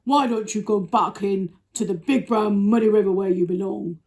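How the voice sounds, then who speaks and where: A woman voicing a big, indignant male elephant in a children's storybook, scolding slowly and emphatically. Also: mid-range voice, a little mellow, lightly acted. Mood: disgruntled, scolding.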